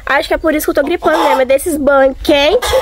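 A young woman, hoarse from a cold, chatting casually and directly to her viewers while walking around a farm outdoors. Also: high voice, fairly even intonation, fast, noisy background. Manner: chatty, talking straight to viewers. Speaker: vlogger. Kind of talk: casual vlog chat.

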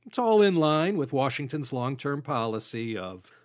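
A man from the United States hosting a history podcast, reading scripted narration in a measured storytelling way with dry asides. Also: mid-range voice, very animated, darkish tone, no echo. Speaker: podcast host.

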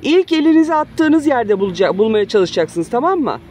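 A playful mother in her thirties films her kids from a handheld phone out in a backyard. Her lively voice bounces up and down as she coaxes them through a game. Faint outdoor noise sits behind her. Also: fairly high pitch, a little fast. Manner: playful, coaxing, laughs often. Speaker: mother filming her children. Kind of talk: casual family vlog narration. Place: backyard play area outdoors. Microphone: handheld phone camera.

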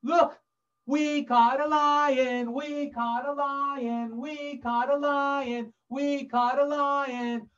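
A man's voice: extremely high pitch, little pitch movement, drawn-out words, a bit bright, highs cut off, pitched above the performer's own voice, exaggerated voice. A man voicing a gleeful, scheming poacher in a children's story, gloating in a sing-song way.

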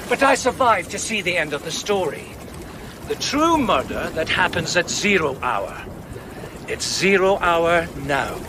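An intense amateur sleuth in a radio mystery. His high, animated voice lays out a case with theatrical deliberation, over clearly noisy boat ambience outdoors. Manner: theatrical, deliberate, building suspense. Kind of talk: radio drama acting.

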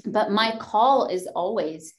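A woman's voice: mid-range voice, takes it slowly. A woman in her thirties from Lebanon, a podcast guest talking passionately and emphatically on a video call from a room at home, swearing and laughing often. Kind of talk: passionate conversational discussion. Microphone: video call webcam.

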